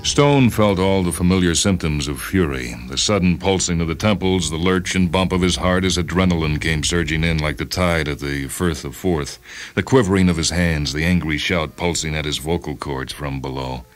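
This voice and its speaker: A man reading a short story as a radio host, in measured, expressive dramatic narration. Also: very deep voice. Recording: music under the voice. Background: music.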